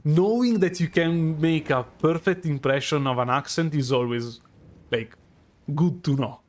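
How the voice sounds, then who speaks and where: A man with a strong Italian accent, a streamer musing out loud over live gameplay with many fillers and restarts. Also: on the high side, measured pace. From Italy.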